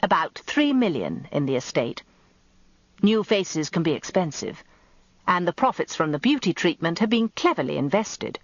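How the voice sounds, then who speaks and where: A woman's voice: on the low side, very animated, normal speed, no echo. A woman voicing suave older Englishman, dry sound. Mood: smiling. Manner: smooth, businesslike. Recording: slightly dull, lo-fi radio recording, dry.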